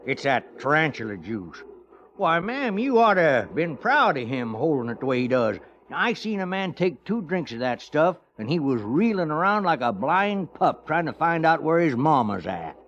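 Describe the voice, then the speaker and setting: Crusty but genial frontier coot, with a rural Western American drawl. The voice sits fairly high and swings widely through homespun phrases. It comes from a dull old radio western recording. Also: male voice, sing-song, moderate pace, fairly bright tone. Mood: folksy, wry. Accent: rural American West. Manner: colorful homespun drawling talk. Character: folksy, crusty, good-natured frontier coot.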